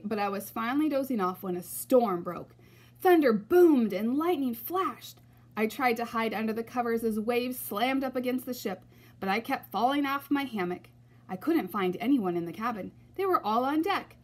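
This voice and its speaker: A woman narrates a picture book for children. Her voice sits fairly high and moves up and down with lots of animation, in an expressive read-aloud style. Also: normal speed. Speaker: storybook reader.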